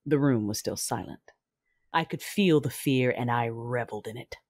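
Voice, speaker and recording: A woman playing a cold, grieving, vengeful Sith lord, narrating an audio drama in a controlled, menacing, deliberate way. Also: very deep voice, a little mellow, slight background noise, pitched below the performer's own voice. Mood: cold menace.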